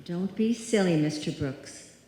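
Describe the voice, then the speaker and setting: A woman playing a headstrong, self-possessed small-town maiden lady in a live old-time radio drama, deliberate and dismissive in manner. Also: on the low side, mellow, slight background noise, close to an everyday voice. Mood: stubborn, dismissive. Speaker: amateur radio play actor. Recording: echoey hall, live stage performance.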